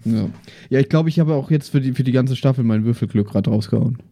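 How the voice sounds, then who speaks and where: A man's voice: mid-range voice, fast talker, dark tone, no echo, studio quality. A man bantering casually as a player on a tabletop role-playing podcast.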